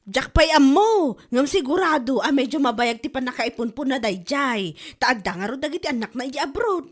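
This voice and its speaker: Comedy village wife, played by a woman: Ilocano accent, high-pitched, very animated, gossipy and scheming. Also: normal speed. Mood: gleeful scheming. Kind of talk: comedy audio drama acting.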